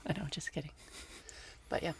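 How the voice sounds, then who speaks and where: An American woman chatting casually as an interview guest. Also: fairly quick. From Texas and Tennessee, United States. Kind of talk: casual chat.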